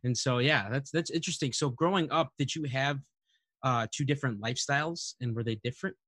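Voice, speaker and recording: A man's voice: medium pitch, brisk, a bit bright, no room sound, studio quality. A young man interviewing a friend, asking friendly, rambling questions and saying "dude" and "man". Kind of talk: casual interview.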